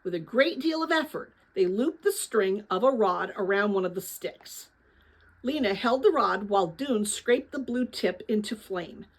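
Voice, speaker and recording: A woman reading a children's novel aloud as host, with expressive, careful phrasing. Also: mid-range voice, measured pace, rough recording. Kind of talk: dramatic storybook read-aloud.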